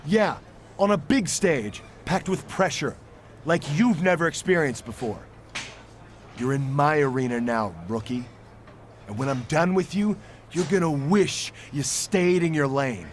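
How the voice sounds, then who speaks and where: A man's voice: fairly high pitch, unhurried, slight background noise, natural voice. A man playing an arrogant, menacing rival wrestler with a condescending delivery in a video game cutscene. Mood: taunting. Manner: taunting, condescending.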